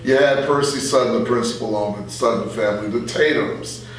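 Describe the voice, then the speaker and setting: An older man reflecting in a casual interview as a veteran radio guest, animated and repeating phrases for emphasis. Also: medium pitch, brisk, far from the mic. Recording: very echoey room, off-mic, faint hum.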